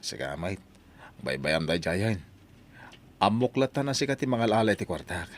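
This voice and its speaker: A man's voice: on the low side, lively intonation, unhurried. A man with an Ilocano accent acting as a regretful husband in an audio drama. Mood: subdued. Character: low-key husband, regretful former drinker, pleading.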